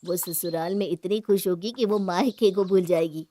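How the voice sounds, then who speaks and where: A woman's voice: fairly low pitch, steady tone, moderate pace, warm tone, a little hiss or hum, lightly acted. A woman voicing a polite, reassuring young bridegroom in a cartoon family story. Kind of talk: Hindi cartoon story acting.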